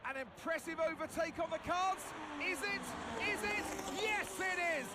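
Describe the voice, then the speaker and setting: A man playing an excitable motor racing commentator in a game, calling the action live. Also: very high voice, normal speed, over a noisy crowd, very noisy. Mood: excited. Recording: loud music and engines behind.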